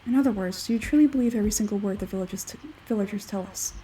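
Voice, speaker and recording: A woman voicing an aloof, logical, smug scholarly man from a game, measured and condescending, with a husky forced-low tone. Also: warm tone, recorded from a distance, a little hiss or hum, lightly acted.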